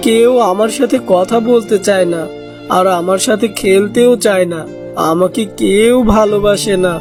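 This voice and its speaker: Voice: medium pitch, moderate pace, natural voice. An actor voicing a lonely, rejected young duckling in a cartoon fairy tale, earnest and plaintive. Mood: sad, plaintive. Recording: music behind the voice.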